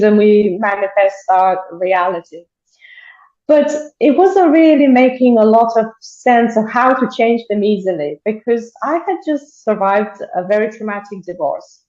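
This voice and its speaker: A woman's voice: medium pitch, a little mellow, reverberant, muffled recording. A woman from Bulgaria telling her story as a guest in a video call interview, chatty, with frequent laughs and restarted sentences.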